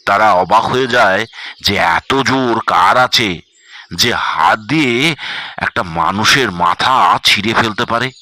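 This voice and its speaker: Horror story narrator, man, very bright forceful tone, unhurried delivery. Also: fairly low pitch.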